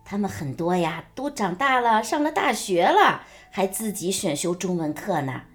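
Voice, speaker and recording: A woman plays a gentle mother in a radio play. Her mid-range voice is lively in melody as she coaxes and encourages her child. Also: medium pitch, normal speed, soft background music, natural voice. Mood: gentle, encouraging. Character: gentle, coaxing, proud mother of a young girl. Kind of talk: radio drama acting.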